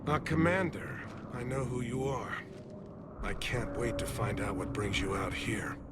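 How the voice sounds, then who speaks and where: An actor playing a battle-hardened alien general in a sci-fi game, blunt and weighty like a reluctant leader. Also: male voice, medium pitch, unhurried, music in the background, close to an everyday voice. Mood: guarded, resolute. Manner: blunt, weighty military delivery. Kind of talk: dramatic sci-fi game acting. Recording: loud battlefield and engine noise behind.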